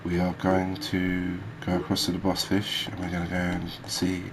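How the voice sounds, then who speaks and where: A man hosting a gaming video, giving soft ASMR commentary in a halting, hesitant manner. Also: low voice. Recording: steady background noise.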